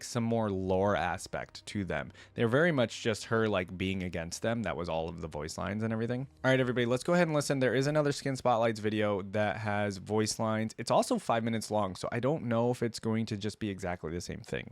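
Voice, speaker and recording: A man with a fairly low voice hosts a casual reaction video. He rambles informally as he thinks aloud, and the sound is very dry, with no room echo.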